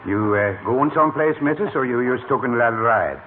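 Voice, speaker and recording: An actor playing a good-natured, deadpan janitor with a Scandinavian accent and broken English in a vintage radio comedy. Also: male voice, medium pitch, fairly quick, close to an everyday voice. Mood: wry. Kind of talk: 1940s radio comedy acting. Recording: scratchy, narrow-band old radio recording.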